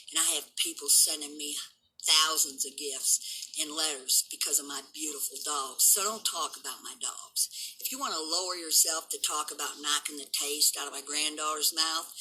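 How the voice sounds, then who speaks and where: Brief: woman, 70s, rough and hard-edged, low-set pitch, taunting, boastful vlog rant. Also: steady tone, moderate pace, pushed and bright, poor audio. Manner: defiant, boastful, taunting critics. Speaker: vlogger and dog breeder. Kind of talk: unscripted rant to camera.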